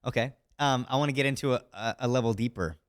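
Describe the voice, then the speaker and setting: A man's voice: medium pitch, fast talker, dry recording, clean studio sound. A man hosting a casual interview, laid-back, saying "yeah" and "dude" often and swearing offhandedly. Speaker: host.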